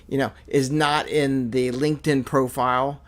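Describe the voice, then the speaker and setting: A man's voice: medium pitch, little pitch movement, moderate pace. Man, show host, mid-range voice, rambling, self-correcting. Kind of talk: show introduction.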